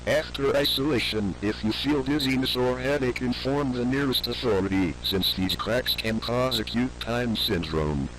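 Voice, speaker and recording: A man's voice: medium pitch, moderate pace, few pauses, crowd noise, close to an everyday voice, rough recording. A man playing a grave government announcer reading a scripted emergency alert in a formal, instructional way. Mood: grave, instructive.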